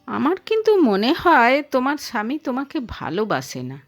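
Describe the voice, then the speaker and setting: A woman's voice: high voice, huge pitch swings, moderate pace, soft background music, full character voice. A woman voicing a wistful, teasing young man, a former lover in an audio story, with a probing manner. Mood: earnest, concerned.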